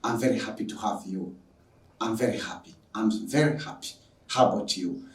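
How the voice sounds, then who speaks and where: A man's voice: on the low side, moderate pace. A man from Tanzania with a Tanzanian accent, introducing an online lecture as a teacher with emphatic, repetitive phrasing. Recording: echoey room, distant microphone.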